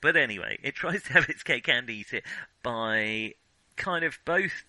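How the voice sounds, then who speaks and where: A man's voice: medium pitch, lively intonation, a little slow, bright, forceful tone, dry recording. A middle-aged man, co-hosting a casual film discussion. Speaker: podcast co-host discussing films.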